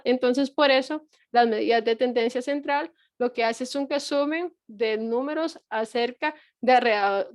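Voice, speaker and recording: Middle-aged woman teacher, patient and repetitive, slightly high-pitched, dry close sound. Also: dry recording. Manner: patient explaining with frequent fillers and rhetorical checks. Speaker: university lecturer. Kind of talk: online lecture.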